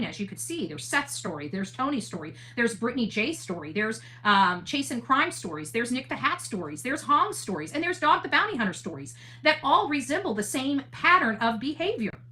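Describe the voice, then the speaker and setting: A woman's voice: fairly high pitch, very animated, fairly quick, hardly any pauses, pushed and bright. A woman hosting an online livestream, talking casually and earnestly in a monologue, often repeating and rephrasing her points for emphasis. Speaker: online channel host. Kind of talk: livestream talk, casual monologue with replies to chat.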